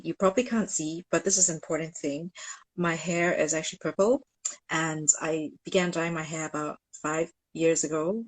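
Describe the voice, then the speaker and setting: A woman in her forties from Singapore speaks in a low voice over a dry, close video-call sound. She answers thoughtfully, pausing often with filler words and adding dry, self-deprecating jokes. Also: husky, no room sound, poor audio. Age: 40s. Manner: thoughtful, many ums, self-deprecating humor. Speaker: ethnomusicologist guest. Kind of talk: casual online interview.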